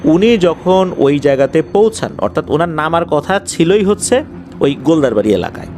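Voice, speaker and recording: Animated Bangladeshi man telling ghost stories. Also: on the high side, moderate pace, a little hiss or hum. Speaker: horror story narrator. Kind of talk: conversational spoken storytelling of paranormal incidents. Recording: faint music behind the voice. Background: faint background music.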